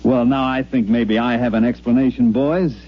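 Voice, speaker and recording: A man's voice: medium pitch, fairly even intonation, moderate pace, slight background noise, natural voice. An actor playing a glib, scheming male con man who narrates his own story wryly in a 1950s radio drama. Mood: smooth bluffing. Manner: wry, smooth-talking. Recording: muffled 1950s radio broadcast with music.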